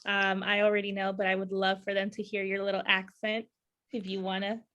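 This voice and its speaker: A woman's voice: medium pitch, completely flat delivery, a little fast, fairly bright tone, highs cut off. A woman from California hosting a podcast interview, chatting casually with lots of fillers and frequent laughter. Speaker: podcast host.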